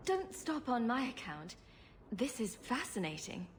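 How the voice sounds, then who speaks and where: A woman's voice: fairly high pitch, big pitch swings, unhurried, a little hiss or hum. An actress playing a sarcastic female rogue adventurer in a video game, dry and teasing. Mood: amused, wry.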